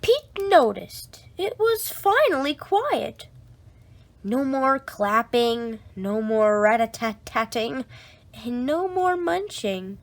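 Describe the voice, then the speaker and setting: A woman reading a children's bedtime story aloud in an expressive, drawn-out way for young listeners. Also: on the high side.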